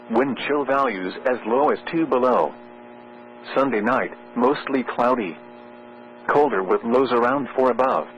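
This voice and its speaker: A man's synthetic announcer voice formally reading a scripted weather bulletin in measured phrases over weather radio. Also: medium pitch. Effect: weather radio transmission. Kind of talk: scripted weather forecast and warning readout. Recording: muffled weather radio with mains hum. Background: steady electrical hum.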